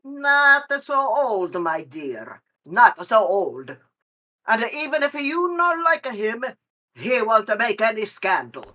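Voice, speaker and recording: An actress playing a sly middle-aged madam who wheedles in halting broken English with an Italian accent, in a stage play. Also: female voice, a little high, big pitch swings, bright, forceful tone, full character voice. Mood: coaxing.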